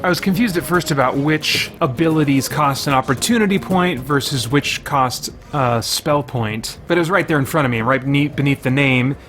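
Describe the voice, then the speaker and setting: A man's voice: mid-range voice, moderate pace, hardly any pauses, a bit bright. Man thinking aloud over game music. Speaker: video game reviewer. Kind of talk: casual first-impressions game commentary.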